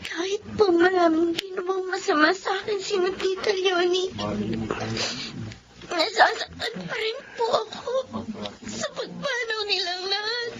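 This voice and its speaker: Radio drama, mistreated young woman, emotional and halting. Very high voice, slow pace. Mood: tearful grief. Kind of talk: Filipino radio drama acting. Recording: dull, noisy radio drama recording.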